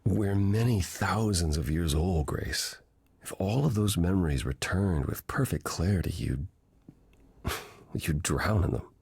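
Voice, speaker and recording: A weary, ancient god in a video game, guiding a newcomer with gentle patience. The voice is low and a little husky, recorded dry and close, with a measured, thoughtful delivery. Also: male voice, expressive, no echo, exaggerated voice. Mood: patient, reassuring. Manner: measured, reflective, explanatory.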